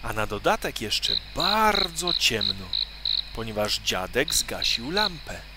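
A man narrating a children's story in an expressive, dramatic way. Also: medium pitch, takes it slowly, very noisy.

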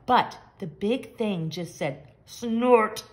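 A woman reading a picture book aloud to young children, with expressive, simple and emphatic phrasing. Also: fairly high pitch, measured pace. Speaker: children's story reader. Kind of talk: expressive storybook read-aloud.

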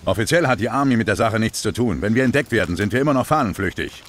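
A man's voice: on the low side, animated, fast. A man voicing a bossy, no-nonsense army sergeant leading his squad in a German-dubbed video game cutscene. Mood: businesslike. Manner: commanding, curt.

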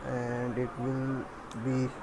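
Monotone man narrating a tutorial, noisy recording. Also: medium pitch, drawn-out words. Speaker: programming tutorial instructor. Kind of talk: step-by-step screen tutorial, thinking aloud.

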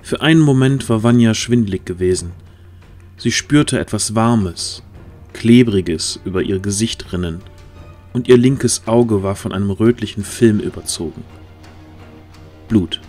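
Low-voiced male audio drama narrator. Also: measured pace, darkish tone, faint music behind.

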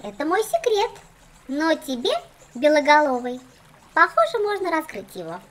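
A woman's voice: high voice, sing-song, normal speed, a bit bright. A woman voicing a fussy, boastful little doll girl in a children's cartoon, theatrical and condescending. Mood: smug teasing.

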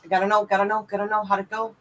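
A woman's voice: mid-range voice, little pitch movement, very fast, a bit bright, rough recording. A woman co-hosting a casual live auction, chatting playfully with viewers and calling out bids.

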